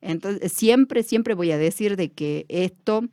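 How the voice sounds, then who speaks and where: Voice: mid-range voice, slow pace, warm tone, clear, resonant tone, no room sound, studio quality. A person with an Argentine Spanish accent, speaking as an interview guest in a reflective way, with many fillers and restarts.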